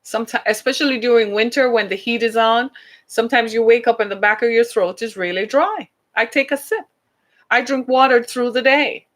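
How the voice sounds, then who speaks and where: A woman's voice: on the high side, fairly bright tone. Caribbean-accented woman hosting a podcast. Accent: British Caribbean. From British Caribbean. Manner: conversational, emphatic, asks rhetorical questions. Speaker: podcast host. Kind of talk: casual monologue podcast.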